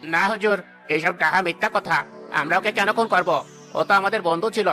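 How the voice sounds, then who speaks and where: A man's voice: high-pitched, a little fast, pushed and bright, soft background music. A man playing a fearful, guilt-ridden murderer in a cartoon, desperate and pleading. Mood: defensive. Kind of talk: cartoon voice acting.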